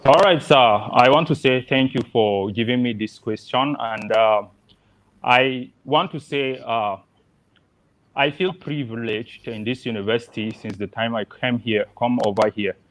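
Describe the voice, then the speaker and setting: A young man from Nigeria, a civil engineering student, speaking politely and measured with fillers on a video call. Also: mid-range voice, fairly bright tone. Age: young adult. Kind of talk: webinar self-introduction and testimony.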